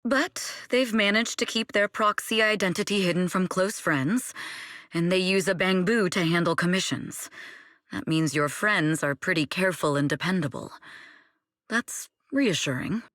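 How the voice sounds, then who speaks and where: A woman playing a shrewd, composed female manager and former spy in a video game, measured, dry and matter-of-fact. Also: on the low side, a bit bright, no echo, close to an everyday voice. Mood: composed, analytical.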